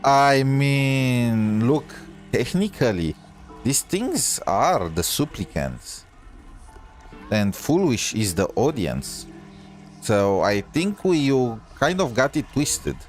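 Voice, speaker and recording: A man's voice: medium pitch, big pitch swings, slow. A man giving casual gaming commentary as a streamer playing through a story. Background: game music.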